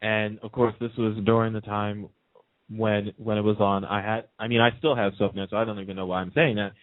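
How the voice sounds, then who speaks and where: A man's voice: lowish voice, little pitch movement, fairly quick, no echo. A man speaking as a guest on a radio show, chatting casually over a muffled phone line. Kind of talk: casual chat. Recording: muffled narrowband telephone line.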